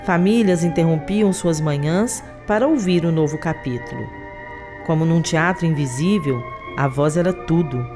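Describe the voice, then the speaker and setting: A woman's voice: sits low, moderate pace, over music. A woman with a Brazilian accent, reading scripted documentary narration.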